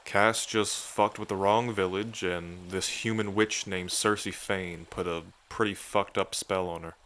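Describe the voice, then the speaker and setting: A man's voice: low-pitched, fairly even intonation, measured pace, a bit bright. A man playing an affectionate dragon king in an intimate ASMR roleplay, speaking in a casual, tender way to a lover. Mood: candid, wry. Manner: tender, casual, hesitant when moved.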